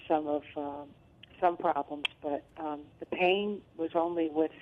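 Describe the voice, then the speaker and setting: Woman, caller, hesitant, low voice, tinny phone line. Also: creaky. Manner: hesitant, many fillers. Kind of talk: call-in question.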